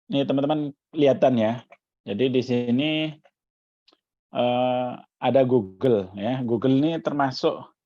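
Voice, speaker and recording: A man's voice: medium pitch, mellow, no room sound, muffled recording. A man from Jakarta coaching a community workshop over a video call, explaining step by step with frequent "ya" tags. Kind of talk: online workshop demo.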